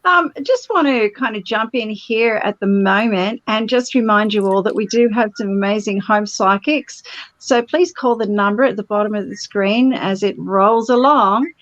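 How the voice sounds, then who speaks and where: A woman's voice: mid-range voice, normal speed, no room sound, highs cut off. A woman hosting a live psychic call-in show, effusive, reading out viewer messages and passing them to readers. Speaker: show host.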